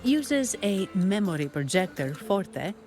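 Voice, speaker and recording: An actress voicing a composed, strategic young noblewoman in a video game, speaking in a calm, measured, commanding way. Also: medium pitch. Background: game music.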